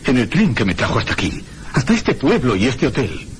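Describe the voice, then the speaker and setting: An actor playing a troubled, inquisitive gentleman in a radio mystery, formal and brooding, both speaking and narrating his own story. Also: medium pitch, sing-song, a little fast, a little hiss or hum, close to an everyday voice. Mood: uneasy, insistent. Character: troubled, inquisitive gentleman hotel guest recounting a ghostly mystery. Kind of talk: radio mystery acting and first-person narration.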